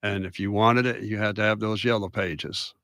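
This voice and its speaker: A man's voice: lowish voice, fairly even intonation, moderate pace, fairly bright tone, no room sound, clean studio sound. Matter-of-fact male radio guest. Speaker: guest business owner. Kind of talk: casual radio interview.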